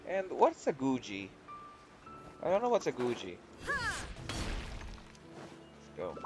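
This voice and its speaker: A man's voice: mid-range voice, huge pitch swings, slow pace. A man giving casual commentary on a video game playthrough, with game music behind him. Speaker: game streamer.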